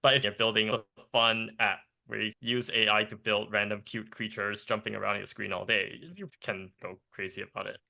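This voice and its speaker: A young man from Singapore, a startup co-founder, talking in a casual podcast interview in animated bursts with restarts and laughs. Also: lowish voice, normal speed, vocal fry, few pauses.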